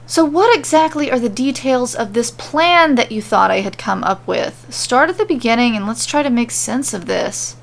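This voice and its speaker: A woman voicing an exhausted, angry, sharp-tongued female wizard in an audio story, blunt and sarcastic. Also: fairly high pitch, lively intonation, moderate pace, vocal fry, few pauses. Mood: weary, demanding.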